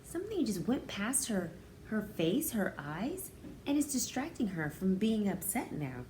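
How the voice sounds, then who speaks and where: Woman, 30s, preschool read-aloud teacher. Expressive and explanatory, soft-edged tone, roomy sound with faint noise. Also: mid-range voice, unhurried. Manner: expressive, explanatory, addressing young children. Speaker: pre-kindergarten teacher reading aloud. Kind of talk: children's picture-book read-aloud with explanations. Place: small room indoors. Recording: roomy indoor echo, faint background noise.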